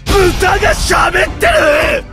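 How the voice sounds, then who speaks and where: An actor voicing a cocky, laid-back rogue in an animated fantasy game, with slangy, drawling teasing. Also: male voice, very high voice, slow, vocal fry, raised voice, music in the background, exaggerated voice. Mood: amazed, excitable.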